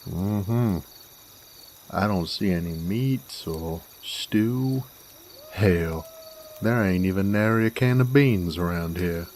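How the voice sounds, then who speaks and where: A man playing a genial, smooth-talking cowboy drifter with an American Western drawl, courteous and wordy in an audio roleplay. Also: sits low, darkish tone, slight background noise. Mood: amiable, teasing. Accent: American Southern/Western drawl. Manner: folksy, courteous, wordy, unhurried. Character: smooth-talking male werewolf bounty hunter drifter, genial Western wanderer. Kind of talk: Western audio roleplay, acted monologue to listener.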